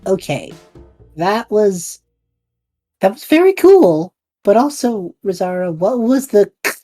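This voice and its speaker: A woman's voice: mid-range voice, big pitch swings, takes it slowly, mellow, faint music behind. A woman playing a bemused, anxious adventurer friend in an audio drama, incredulous and hesitant. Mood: stunned.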